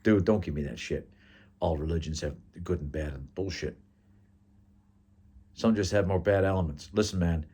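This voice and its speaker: A man's voice: deep voice, normal speed, pauses often, mellow, slightly rough. A man voicing a brash, cocky Italian American bar buddy with a New York Italian accent in a story. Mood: scornful. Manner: blunt, cocky, slangy.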